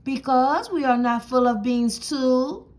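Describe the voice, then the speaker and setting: A woman plays a small Mexican chihuahua sidekick from a storybook. The talk is matter-of-fact and deliberate, unhurried, at a high pitch. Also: darkish tone. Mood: explaining. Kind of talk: children's storybook character voice.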